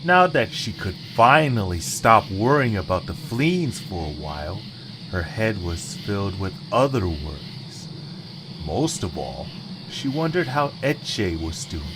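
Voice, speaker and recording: Male narrator for scripted story, lowish voice, faint background noise. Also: very animated, unhurried.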